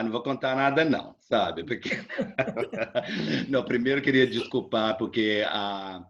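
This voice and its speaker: A middle-aged man from Brazil with a Brazilian Portuguese accent, casually telling his life story as an interview guest, rambling and relaxed with frequent tag questions. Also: fairly low pitch, dull audio.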